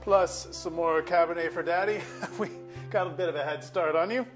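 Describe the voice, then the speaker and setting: A man's voice: on the high side, moderate pace, faint music behind, lightly acted. A man acting a blunt, sardonic middle-aged husband and father in a staged comedy reading. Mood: jovial host. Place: auditorium stage.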